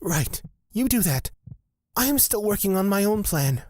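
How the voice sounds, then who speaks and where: A woman playing a menacing, self-assured male blood witch in a fantasy audio drama, speaking in a deliberate, commanding way. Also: medium pitch, big pitch swings, a little mellow, dry recording, clean studio sound. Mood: determined.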